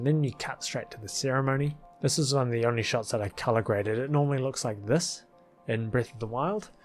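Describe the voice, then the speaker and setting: A man giving rambling, casual commentary as a video creator, recorded as a close voice-over with music behind. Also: fairly low pitch. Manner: rambling, chuckling asides. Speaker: video creator, film editor.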